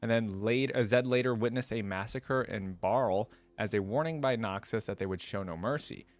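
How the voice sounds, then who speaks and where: A man's voice: on the low side, moderate pace, dry recording. A man hosting a reaction video, reading aloud and adding casual, informal asides to viewers.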